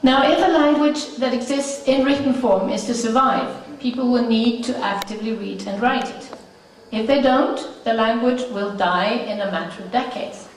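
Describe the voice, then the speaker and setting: Icelandic woman speaking in an echoey hall. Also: on the high side. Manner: measured, pausing with frequent uh fillers. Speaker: conference speaker. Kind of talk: prepared conference talk. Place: conference hall. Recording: echoey hall, mic at a distance.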